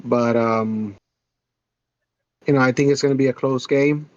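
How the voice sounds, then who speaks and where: A man's voice: medium pitch, steady tone, unhurried, a little mellow, dry recording, lo-fi sound. A man co-hosting a podcast, talking casually and hesitantly about sports picks with many fillers. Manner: hesitant, many ums and uhs. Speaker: podcast co-host.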